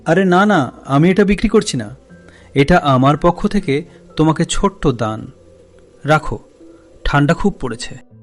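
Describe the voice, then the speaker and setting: A man's voice: a little high, lively intonation, unhurried, long pauses, soft background music. A man voicing a kindly, generous village merchant in a cartoon fairy tale, speaking in an earnest way.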